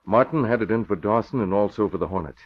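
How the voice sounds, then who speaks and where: An actor playing a brisk, shrewd newspaper boss with a crisp, commanding manner in an old radio drama. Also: male voice, lowish voice, expressive. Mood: grave, resolved. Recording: muffled vintage radio recording.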